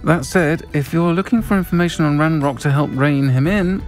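A man's voice: on the high side, brisk, faint music behind, close to an everyday voice. An actor voicing a friendly, middle-aged witch who keeps a tavern in a video game, speaking frankly and conversationally. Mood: frank, earnest.

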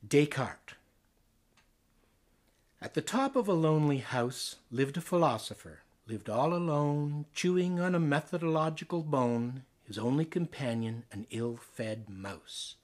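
A Canadian man, an actor, reading poetry aloud in a theatrical way with deliberate phrasing. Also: a little high, a little mellow. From Ontario, Canada.

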